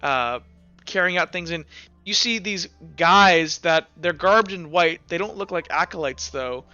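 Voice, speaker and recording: A man running a tabletop game, improvising narration in a halting, casual, slangy way. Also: on the high side, sharp tone, smooth, no room sound. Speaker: game master. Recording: muffled, music behind. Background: background music.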